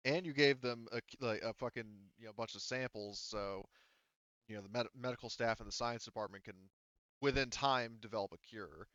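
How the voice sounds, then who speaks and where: A man's voice: medium pitch, normal speed, fairly bright tone, dry recording, dull audio. A man running a tabletop game, talking casually as game master and explaining options in a rambling, hedging way.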